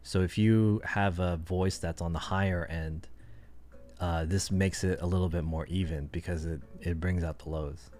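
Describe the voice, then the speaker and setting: Low-voiced man rambling on a livestream. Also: fairly even intonation, normal speed, a little mellow, faint music behind. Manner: rambling, thinking aloud with many fillers. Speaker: streamer. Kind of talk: casual livestream chat.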